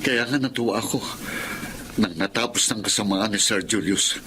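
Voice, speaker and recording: A man's voice: on the low side, normal speed, close to an everyday voice. A man acting a repentant, earnest family father with emotional delivery in a Tagalog radio drama. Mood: relieved. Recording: clearly noisy background ambience.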